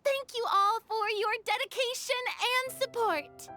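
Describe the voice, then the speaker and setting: A woman's voice: very high voice, measured pace, sharp tone, exaggerated voice. A woman voicing a bossy, theatrical young film director in a video game, with a commanding, dramatic delivery. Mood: brisk. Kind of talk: video game cutscene acting. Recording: faint music behind.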